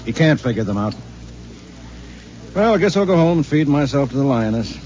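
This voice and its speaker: An actor playing a wry, joking middle-aged husband who wisecracks and sounds incredulous, in 1950s radio acting style. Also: male voice, mid-range voice, big pitch swings, normal speed, darkish tone, close to an everyday voice. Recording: dull 1950s radio recording, faint hiss.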